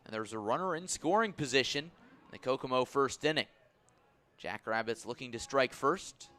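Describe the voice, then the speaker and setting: A man's voice: medium pitch, lively intonation, moderate pace, bright, forceful tone. A man doing live baseball play-by-play commentary for a radio broadcast.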